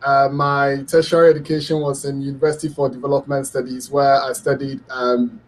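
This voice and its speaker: A man's voice: medium pitch, level tone, fairly quick. A middle-aged man from Ghana, a public health lecturer, explaining a health condition on a radio talk show in an earnest, explanatory way with frequent ums and repeated words.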